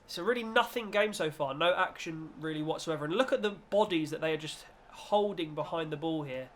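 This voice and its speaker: A man's voice: fairly high pitch, fairly quick, a bit bright. A man hosting a gaming video with casual, chatty football commentary in informal British slang, slightly nasal from a cold.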